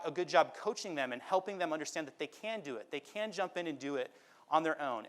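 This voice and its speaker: A man's voice: fairly high pitch, a bit bright. A man giving a conference presentation in a persuasive way, often checking with the audience. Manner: persuasive, often ending with "right?". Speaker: conference speaker.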